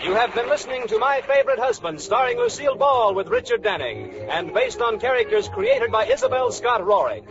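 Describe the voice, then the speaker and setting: A man's voice: very high-pitched, wildly swooping pitch, a little fast, hardly any pauses, crowd noise. An American man reading scripted closing credits as a 1940s radio announcer. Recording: muffled old radio recording over music.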